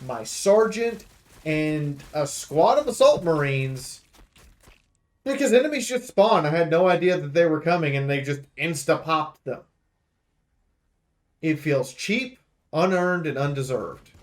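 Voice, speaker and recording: A man with a fairly high voice hosts a game preview, talking off the cuff. He is blunt and emphatic, laying out complaints in an aggrieved way. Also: lively intonation, a little slow. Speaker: video game preview host. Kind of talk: unscripted game review commentary.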